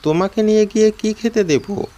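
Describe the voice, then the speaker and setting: A man's voice: high voice, fairly quick, warm tone, pitched above the performer's own voice, natural voice. A man voicing a poor, kindly woodcutter father in a cartoon story, homely, weary and dutiful. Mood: homely, resigned.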